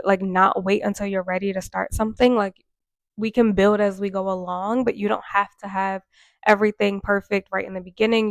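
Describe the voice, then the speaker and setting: A woman in her mid-twenties talks straight to camera, a bit nasal and worn out from a cold. Her delivery is earnest and chatty, full of fillers, and stays fairly flat in pitch. The recording is close and dry, with no room sound. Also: no echo. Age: 20s. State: congested from a cold, tired. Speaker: vlogger. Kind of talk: casual talk to camera. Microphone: microphone.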